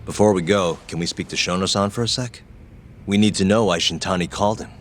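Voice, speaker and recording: A man's voice: low voice, fast talker, soft background music, close to an everyday voice. A man acting in an English game dub as a laid-back, wry, confident detective who talks casually and unhurriedly. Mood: calm.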